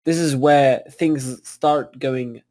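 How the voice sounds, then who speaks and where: A man's voice: medium pitch, steady tone, slow, a crowd in the background. A man making casual commentary during a table read.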